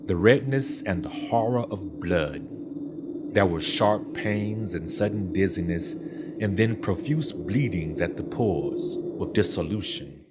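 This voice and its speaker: A man's voice: sits low, expressive, measured pace, very noisy. A man narrating a scripted gothic horror tale in a theatrical, literary storytelling manner. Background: background music.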